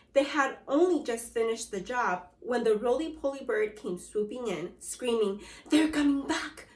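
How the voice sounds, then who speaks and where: A woman teacher reading a storybook aloud to young children, with animated call-and-response prompts. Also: high voice, reverberant. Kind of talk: read-aloud lesson for children.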